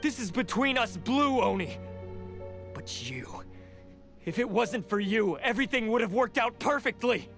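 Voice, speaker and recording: A man's voice: extremely high pitch, lively intonation, measured pace, a bit bright, faint music behind, heavily acted. An actor playing a proud, desperate young male oni warrior in a game, speaking intensely and defiantly. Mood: bitter. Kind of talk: game cutscene voice acting.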